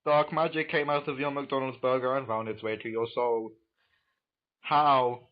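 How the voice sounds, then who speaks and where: A man's voice: mid-range voice, steady tone, measured pace, natural voice. Man acting a stilted, solemn teenage boy, muffled. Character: John and other hesitant, solemn teenage schoolboys. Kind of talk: amateur dramatic reading of fan fiction.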